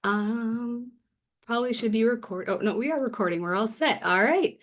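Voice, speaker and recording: Young woman, raspy cold voice, upbeat, chatty host, video call. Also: a little high, normal speed, fairly bright tone. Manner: upbeat, chatty with many fillers. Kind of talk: online forum introduction.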